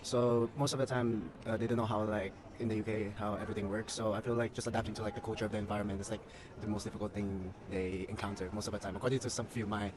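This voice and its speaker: Young man from the Philippines, low voice, quick, crowd noise. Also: flat delivery, poor audio. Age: young adult. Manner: quick with fillers. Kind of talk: casual interview answer. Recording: busy crowd behind.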